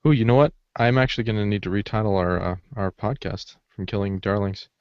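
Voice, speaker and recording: A man's voice: on the low side, a little fast, clear, resonant tone, dry recording. A man co-hosting a podcast in informal, easygoing, casual conversation. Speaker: podcast co-host. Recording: slightly dull, lo-fi audio.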